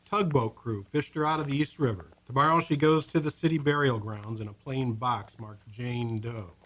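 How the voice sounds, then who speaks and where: A man's voice: medium pitch, normal speed, fairly bright tone, close to an everyday voice. A man playing a blunt morgue attendant. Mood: grim. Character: world-weary male morgue attendant. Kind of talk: old-time radio drama.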